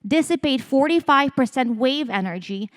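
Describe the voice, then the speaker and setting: A woman from Ukraine, a company founder, giving a lively conference pitch talk on stage. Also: moderate pace. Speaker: startup founder and CEO.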